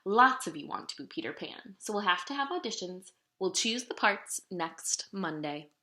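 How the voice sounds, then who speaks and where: A woman voicing a brisk, matter-of-fact schoolteacher in a children's storybook. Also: mid-range voice, animated, pushed and bright. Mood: brisk, fair. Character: brisk schoolteacher addressing a class. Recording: roomy, echoing room sound.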